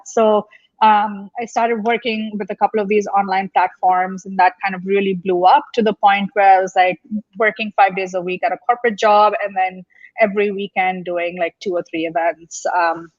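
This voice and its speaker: A woman's voice: medium pitch, dry recording, lo-fi sound. A woman in her forties, originally from Mumbai, chatting easily as a guest chef with many fillers and a ready laugh. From India, Mumbai. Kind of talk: conversational interview.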